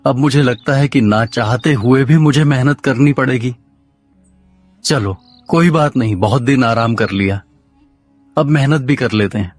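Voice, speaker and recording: A man's voice: medium pitch, measured pace, close to an everyday voice. Casting: male actor for work-shy, simple-minded cartoon villager husband, medium register, faint music underneath. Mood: resigned. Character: lazy, naive village husband who shirks work. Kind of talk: Hindi cartoon story dialogue.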